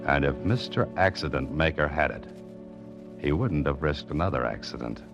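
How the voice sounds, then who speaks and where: An actor playing a hard-boiled private detective, narrating in terse, wry 1940s radio style. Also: male voice, very deep voice, expressive, moderate pace, creaky, highs cut off, music in the background, heavily acted. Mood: tense.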